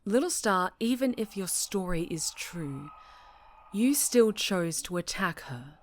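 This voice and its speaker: A woman's voice: medium pitch, expressive, slight background noise. A woman playing an obsessive, domineering superhero captor in a dramatic ASMR roleplay, speaking with volatile, condescending menace. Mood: stern, condescending. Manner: slow, menacing, condescending, breaking into whispers and shouts.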